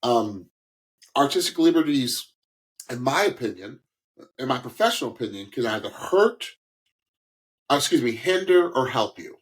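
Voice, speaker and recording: A man co-hosting a music podcast, giving casual, opinionated commentary on singers in a halting way. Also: medium pitch, big pitch swings, low-quality recording. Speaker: podcast co-host, singing critic.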